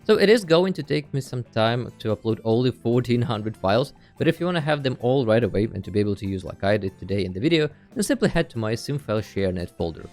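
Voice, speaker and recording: Lively man narrating a casual tutorial. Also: medium pitch, fast talker, few pauses, soft background music. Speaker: host of a video game tutorial channel.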